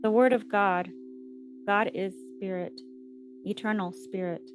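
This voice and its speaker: A woman narrating a scripted guided meditation with scripture readings, reciting in measured short phrases. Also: medium pitch, noisy background.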